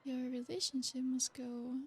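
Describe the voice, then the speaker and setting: A woman voicing an ancient, possessive royal vampire in an ASMR roleplay. She speaks slowly and seductively, with soft whispered asides. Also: sits high, flat delivery, full character voice. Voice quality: breathy, soft-spoken. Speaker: ASMR creator.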